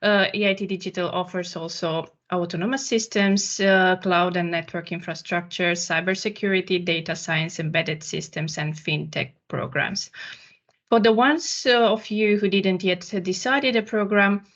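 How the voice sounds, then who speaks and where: A woman's voice: on the low side, normal speed, a bit bright. A woman hosting an online information session in a polite, formal manner, speaking over a video call. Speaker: webinar host. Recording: dull, muffled online call audio.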